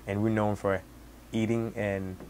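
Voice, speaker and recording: A young man in his twenties from Senegal with a Senegalese accent, Wolof his first language, telling his story as a cook in halting speech with many restarts. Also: on the low side, little pitch movement, darkish tone, slight background noise. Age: 20s. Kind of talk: casual personal storytelling.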